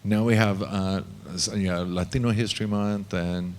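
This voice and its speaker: A man with a fairly low voice speaks slowly and reflectively. He pauses often and fills the gaps with "uh" as he voices for a panelist at a panel discussion. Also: fairly even intonation.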